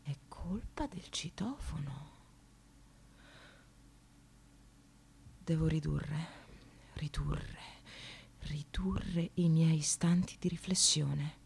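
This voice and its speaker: An actress playing a resentful, frazzled receptionist in a theatrical radio monologue, volatile between clipped politeness and bitterness. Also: female voice, low voice, slow, gravelly, a little mellow, a little hiss or hum, full character voice. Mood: whispered, brooding. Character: frazzled, resentful female receptionist in precarious work, near breakdown.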